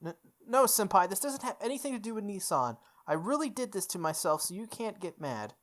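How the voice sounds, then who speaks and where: A woman's voice: mid-range voice, sing-song, fairly quick, voice pushed up, close to an everyday voice. A woman voicing a timid but obstinate teenage girl in a visual novel, hesitant and earnest. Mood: defensive.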